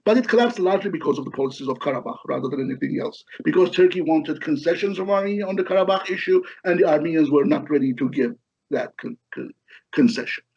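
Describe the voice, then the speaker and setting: A middle-aged man from Lebanon speaks in a fairly high voice through slightly dull, lo-fi audio. He explains like a professor being interviewed, feeling his way haltingly through detailed answers. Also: expressive, a little mellow, husky. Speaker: history professor, interview guest.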